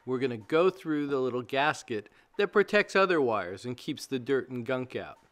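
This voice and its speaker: A man's voice: mid-range voice, very animated, normal speed, fairly bright tone. A man giving scripted how-to narration as the narrator of a DIY video, explaining plainly step by step.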